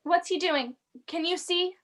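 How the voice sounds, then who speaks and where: High-voiced, stern heavenly superior played by a woman. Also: unhurried, fairly bright tone. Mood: commanding. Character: stern, commanding female heavenly superior of an angel. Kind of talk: amateur radio play acting.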